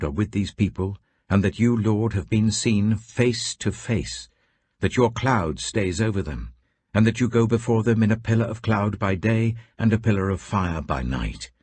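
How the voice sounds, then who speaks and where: Man playing an impassioned, deep-voiced Israelite leader. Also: darkish tone, husky. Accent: British. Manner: urgent, impassioned. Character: earnest, faithful Israelite leader pleading with conviction.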